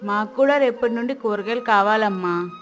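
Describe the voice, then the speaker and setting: A woman voicing a friendly schoolmaster's wife, a village newcomer, in an animated moral story. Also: medium pitch, moderate pace, faint music behind, natural voice.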